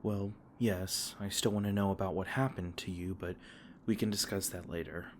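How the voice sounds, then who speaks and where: A man voicing a gentle, protective male fae king in an ASMR comfort roleplay, soft-spoken and tender, stumbling over his words now and then. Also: low voice, fairly even intonation, normal speed, slight background noise. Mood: tender, soothing.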